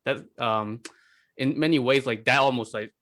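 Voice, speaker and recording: Male podcast panelist, mid pitch, conversational, halting, heavy on filler words. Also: fairly bright tone. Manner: halting, peppered with "like" and "you know". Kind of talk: casual discussion.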